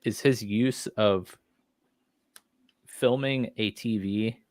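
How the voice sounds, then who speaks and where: Casual, low-voiced podcast guy. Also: slow pace, a little mellow, no room sound. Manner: enthusiastic, informal. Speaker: podcast co-host. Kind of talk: casual chat about horror series.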